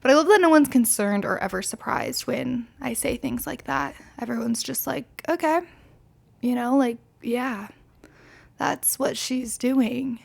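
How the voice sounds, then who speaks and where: A woman's voice: sits high, a little slow, creaky, darkish tone. A woman in her twenties, slightly tipsy, rambling candidly through a casual solo podcast with lots of fillers and swearing. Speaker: podcast host.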